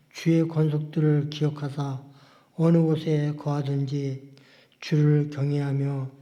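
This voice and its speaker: A man prays formally before a congregation in a slightly high male voice. His delivery is solemn and very even, with measured, unhurried phrases. The recording sounds somewhat muffled. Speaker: congregant leading the representative prayer at church. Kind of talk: formal public prayer. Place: church service.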